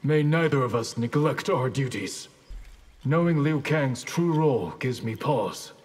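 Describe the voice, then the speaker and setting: An actor playing a stern, honorable ninja grandmaster in a video game, speaking in a terse, resolute, formal way. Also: male voice, mid-range voice, lively intonation, a little slow. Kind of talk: video game character acting.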